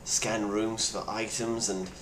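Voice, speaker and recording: Male actor as weary apocalypse survivor, lowish voice, blunt and grave video testimony, noisy roomy sound. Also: flat delivery, slow, fairly bright tone. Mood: earnest, advising. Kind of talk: in-character monologue, found-footage video diary. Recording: roomy echo with clear background noise.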